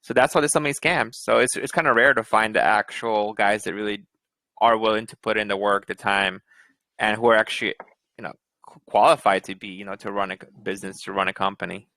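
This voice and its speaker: An American man hosts a casual crypto chat, speaking directly and with emphasis. His voice has a bright, forceful edge. The sound is dry and close. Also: on the low side, fairly even intonation, a little fast, vocal fry, no room sound. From United States.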